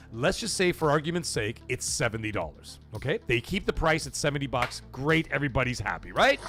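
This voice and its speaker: A man hosting a gaming livestream, his pitch sitting a little high and swinging widely as he makes his case. He talks casually and emphatically to his chat, pressing his argument and often checking that they follow. Faint music plays underneath. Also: a bit bright. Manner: emphatic, persuasive, keeps checking in with "okay?" and "right?".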